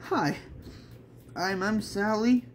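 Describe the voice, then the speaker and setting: A man's voice: high-pitched, slow pace, slight background noise, voice pushed up. A man voicing an earnest young female rookie cop in a manga read-along, plain and hesitant. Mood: shy.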